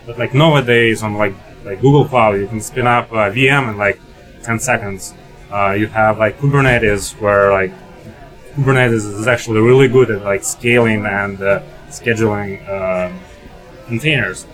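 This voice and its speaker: Low male voice, filler-heavy tech talk, light music under. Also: fairly even intonation, normal speed, fairly bright tone, low-quality recording. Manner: heavy use of "like" and "uh". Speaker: panel guest. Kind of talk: casual technical explanation.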